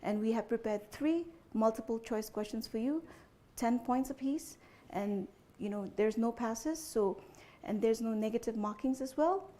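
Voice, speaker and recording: A young adult woman hosts a quiz, laying out the rounds and scoring for the teams. Her voice sits a little high. She talks casually, sprinkling in "you know" and stopping to ask whether everyone is clear. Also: a little slow, mellow. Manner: chatty, frequent "you know" fillers, checks understanding with "okay?". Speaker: quizmaster. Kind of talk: explaining quiz rules to contestants.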